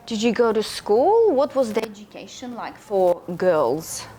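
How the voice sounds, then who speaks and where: A woman's voice: mid-range voice, sing-song, measured pace, dark tone, a little hiss or hum, poor audio. A woman hosting an interview with an enthusiastic presenter delivery, recorded at a bar venue. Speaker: interview host. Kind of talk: hosted interview.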